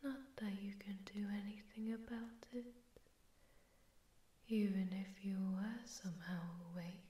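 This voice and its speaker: A woman voicing a seductive, haughty female demon in a soft, breathy ASMR whisper, condescending and teasing, with an echoing distortion effect. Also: mid-range voice, fairly even intonation, measured pace. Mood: menacing, disdainful. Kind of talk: whispered ASMR audio roleplay.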